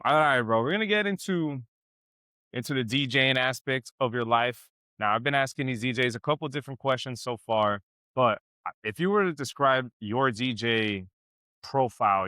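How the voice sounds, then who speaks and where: A young man from South Texas hosting a podcast in a barbershop, telling stories in slangy, animated casual chat. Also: medium pitch, moderate pace, no room sound, clean studio sound. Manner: animated storytelling, slangy, says "bro" and "man" a lot. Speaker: podcast host.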